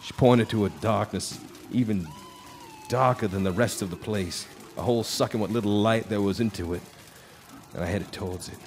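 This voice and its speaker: Man playing a very deep-voiced, haunted drinker. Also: moderate pace, a little mellow, natural voice. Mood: grim, haunted. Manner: brooding, theatrical storytelling. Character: haunted, bitter, hard-drinking male guitarist telling his tale. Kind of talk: noir radio drama monologue. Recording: live stage recording with background noise.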